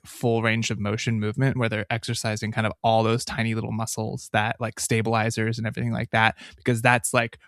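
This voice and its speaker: A man's voice: medium pitch, creaky, no echo, studio quality. A man co-hosting a podcast, rambling in casual chat with lots of fillers. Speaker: podcast co-host.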